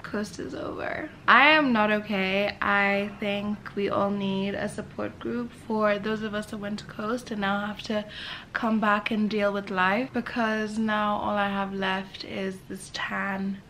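A woman's voice: mid-range voice, little pitch movement, slow pace, sharp tone, slight background noise, rough recording. A young woman in her twenties chatting casually to her camera as a vlogger, upbeat and self-mocking. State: hoarse, voice not back. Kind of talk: casual vlog chat to camera. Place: hotel bedroom. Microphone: handheld camera close to face.

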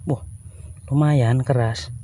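Mellow-voiced man fishing outdoors. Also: mid-range voice, steady tone, slow pace, slight background noise. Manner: talks to viewers, frequent exclamations. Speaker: fishing vlogger. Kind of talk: casual live commentary while fishing. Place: outdoors by muddy rice field water. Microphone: body-worn action camera.

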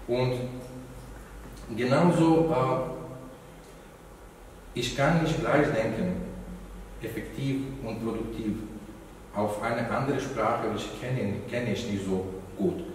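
A middle-aged man, Romani his mother tongue, speaks haltingly and searches for German words. His voice sounds far from the mic, and the hall's reverb hangs over each phrase. A faint room hum sits under it. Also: medium pitch. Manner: hesitant, many fillers, searching for German words. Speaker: event moderator. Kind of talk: opening remarks at a lecture event. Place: event hall, indoors. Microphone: far room microphone. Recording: echoey hall, distant mic.

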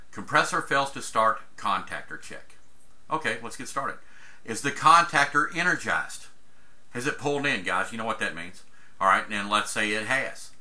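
A man's voice: lowish voice, pushed and bright. A man, teaching an HVAC troubleshooting chart in a folksy, chatty way over a screen recording. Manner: folksy, chatty, says "guys" often. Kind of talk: casual screen-share tutorial.

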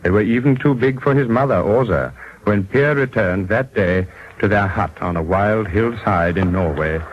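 A man's voice: lowish voice, moderate pace. A man giving scripted narration for a 1940s radio drama. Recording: muffled 1940s radio broadcast.

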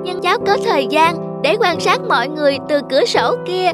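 A woman's voice: very high voice, animated, a little fast. A woman voicing a sweet, lonely little boy in a cartoon, earnest and polite. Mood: wistful. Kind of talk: cartoon voice acting. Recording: music behind the voice.

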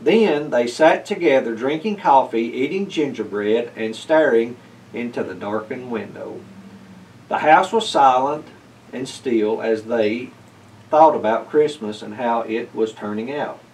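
A man in his thirties reading a children's storybook aloud in a measured, expressive way. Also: medium pitch. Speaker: story reader. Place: indoors, library corner with bookshelves. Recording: picked up from a distance.

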